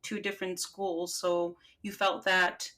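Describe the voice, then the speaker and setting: A woman's voice: lowish voice, little pitch movement, unhurried, bright, forceful tone. A woman hosting a podcast, talking casually with her colleague and filling her speech with "like" and "kinda". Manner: chatty, fillers like "like" and "kinda". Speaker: podcast host.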